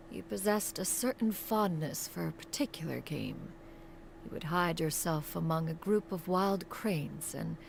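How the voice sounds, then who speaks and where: A woman's voice: on the low side, creaky, darkish tone, a little hiss or hum. A woman voicing a proud, motherly immortal crane master in a video game, speaking in stately, formal, archaic phrases. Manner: stately, archaic, calls herself "one".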